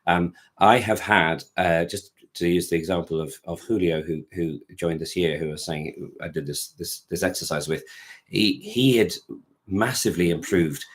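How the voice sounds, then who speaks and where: Low-voiced man, rambling chat. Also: normal speed. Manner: rambling, many restarts, sings short notes to demonstrate. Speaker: author and choir director. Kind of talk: casual interview chat.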